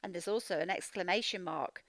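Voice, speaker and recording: A woman teaching a children's reading lesson, expressively reading aloud and sounding out words slowly. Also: fairly low pitch, a bit bright, no echo. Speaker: phonics teacher.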